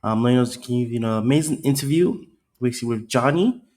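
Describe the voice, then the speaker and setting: A man at a middle pitch, hosting a casual podcast. He asks his questions loosely and haltingly, with slang and fillers. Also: a little mellow. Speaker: podcast host.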